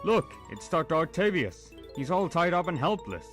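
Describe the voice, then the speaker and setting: An actor playing an excitable soldier on sentry duty in a comic book dub. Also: male voice, a little high, moderate pace, faint music behind. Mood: excited.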